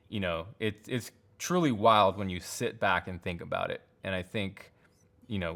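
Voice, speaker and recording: A man with a low-pitched voice. He talks casually as a guest in a discussion, offering opinions in a thoughtful, conversational way. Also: a bit bright. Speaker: podcast guest, tech YouTuber.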